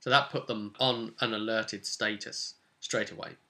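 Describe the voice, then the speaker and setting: A man casually recounting a role-playing session as game master, telling it in an animated storyteller's way. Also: on the low side, measured pace, gravelly.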